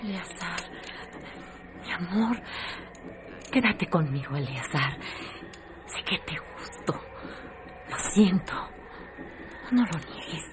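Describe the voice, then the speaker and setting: A woman's voice: medium pitch, background noise. An actress playing a passionate, jealous woman pleading with the man she loves in a radio drama.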